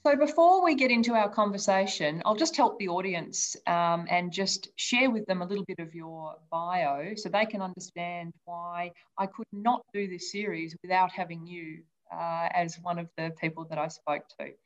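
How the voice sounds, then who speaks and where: A woman's voice: on the low side, moderate pace, few pauses. A woman hosting a leadership podcast, reading her intro and asking earnest, enthusiastic questions. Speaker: podcast host and interviewer. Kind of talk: scripted intro then interview questions. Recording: dull, lo-fi sound.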